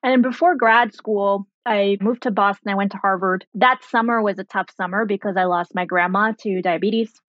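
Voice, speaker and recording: A woman in her thirties explaining things clearly and conversationally as an interview guest, with simple, articulate phrasing. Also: mid-range voice, steady tone, normal speed, hardly any pauses, fairly bright tone, dry recording, highs cut off. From grew up in Germany and Sri Lanka. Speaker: guest, biotech founder and laser physicist.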